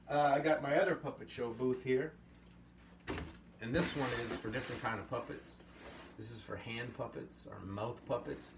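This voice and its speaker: A middle-aged man explaining puppet storytelling in a relaxed, thinking-aloud way. His voice sits a little away from the mic, with a faint hiss behind it. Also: medium pitch. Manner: easygoing, thinks aloud with fillers. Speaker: puppetry workshop host. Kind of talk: casual instructional talk. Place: home workshop room. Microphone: camera mic at a distance. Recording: slightly distant, faint hiss.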